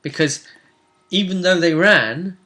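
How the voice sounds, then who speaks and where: A man's voice: high-pitched, slow pace, pushed and bright. A man casually recounting a tabletop role-playing session as its game master.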